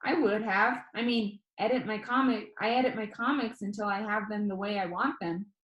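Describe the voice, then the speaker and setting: A woman reading a children's novel aloud as expressive first-person audiobook narration. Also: mid-range voice, moderate pace, reverberant, dull audio. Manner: expressive read-aloud storytelling.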